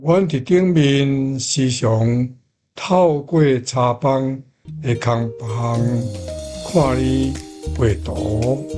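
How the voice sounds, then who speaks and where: A man with a Taiwanese accent reading translations deliberately, phrase by phrase, as a teacher. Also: medium pitch, music in the background.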